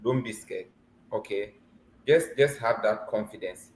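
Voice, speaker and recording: A man's voice: on the low side, measured pace, slight background noise. A man coaching a student for a mock visa interview over a video call, teasing and laughing often but encouraging. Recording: echoey room with faint ambience.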